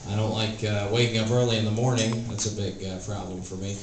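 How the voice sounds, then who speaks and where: A thirty-year-old man performing stand-up in a comedy club, his delivery dry and halting, padded with "uh" and "like". He is recorded from some distance, so the sound is roomy, and audience laughter and noise are heard clearly behind him. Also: on the low side, flat delivery. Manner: dry, hesitant delivery with many "uh" and "like". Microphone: stage microphone.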